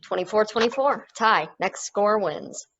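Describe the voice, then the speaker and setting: A woman's voice: medium pitch, animated, a little slow, noisy background. A woman as a casual, sports-mad probe. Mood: casual, keen. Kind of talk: amateur play table read. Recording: dull, lo-fi audio.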